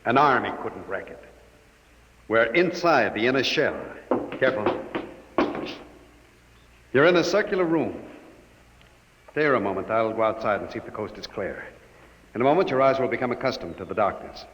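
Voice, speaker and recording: A man playing a calm, secretive man leading a fugitive to a hideout, in 1950s radio drama style, steady and low-voiced. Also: moderate pace, pauses often, close to an everyday voice. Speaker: radio actor playing Mister Wade. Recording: dull old radio broadcast with hiss.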